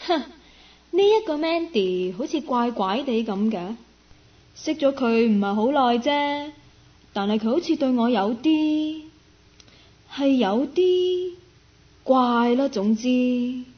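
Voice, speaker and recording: A woman's voice: sits high, expressive, slow, long pauses, muffled recording. A woman acting a curious, friendly young woman in a radio drama, with a Hong Kong Cantonese accent, both narrating and in dialogue. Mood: puzzled. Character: curious, friendly young woman, part-time worker, nicknamed Meow.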